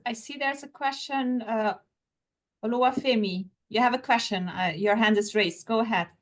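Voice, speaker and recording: A woman's voice: a little high, normal speed. A woman moderating a video-call question session, friendly and a little hesitant, repeating words as she invites questions. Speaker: host of an online info session.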